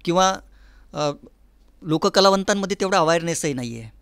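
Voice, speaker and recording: A man with a Maharashtrian accent speaks as an interview guest. His voice sits fairly high, and he explains earnestly, listing examples as he goes. The studio sound is very dry, with no room around it. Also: animated, normal speed, a bit bright, clear, resonant tone, no echo. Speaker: interview guest, folk artist and academic department head. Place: recording studio.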